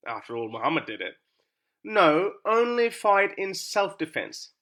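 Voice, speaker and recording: Sarcastic man with lively, bright voice. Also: on the high side. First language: Urdu. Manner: sarcastic, rhetorical questioning. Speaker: YouTube commentator. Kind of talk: scripted argumentative monologue.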